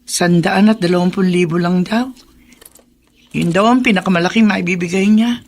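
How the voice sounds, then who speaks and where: A woman's voice: lowish voice, moderate pace, darkish tone. A woman playing a sorrowful, weary widowed village mother in a Filipino radio drama. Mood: matter-of-fact.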